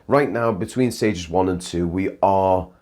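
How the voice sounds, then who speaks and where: Low-voiced young man chatting. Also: unhurried, darkish tone. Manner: chatty, rambling with restarts. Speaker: vlogger. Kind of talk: casual talk over drone footage.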